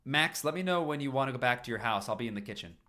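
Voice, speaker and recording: A man's voice: mid-range voice, expressive, rapid-fire, pushed and bright, voice pushed up. A man voicing an easygoing, kindly, considerate caretaker in a story reading. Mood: gentle.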